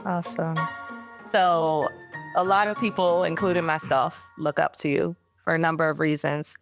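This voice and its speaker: Low-voiced woman host over music. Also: fairly even intonation, normal speed. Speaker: podcast host. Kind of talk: scripted introduction and interview questions. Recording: music bed behind the voice.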